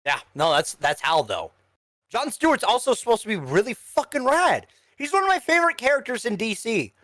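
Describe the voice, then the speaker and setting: A woman with a lively, swooping delivery in a close, dry recording. She co-hosts a casual review show and gives opinions bluntly, with slang and swearing. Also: a little high, a little fast, dry recording. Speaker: podcast co-host reviewing animated films.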